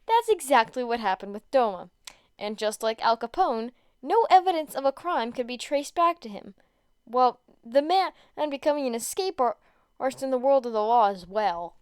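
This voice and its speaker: A woman with a high, smooth voice, recorded close and dry. She reads and chats like an amateur podfic narrator, expressive but often tripping over a phrase and starting it again. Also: moderate pace, no room sound. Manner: stumbles over words and rereads phrases. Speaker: podfic reader. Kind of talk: casual introduction and expressive amateur story reading.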